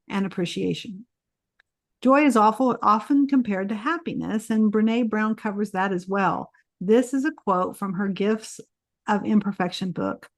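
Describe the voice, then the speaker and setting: A woman's voice: medium pitch, dry recording. Earnest woman podcast host, dry sound. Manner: earnest, explanatory, reads quotes aloud. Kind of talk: reflective podcast conversation.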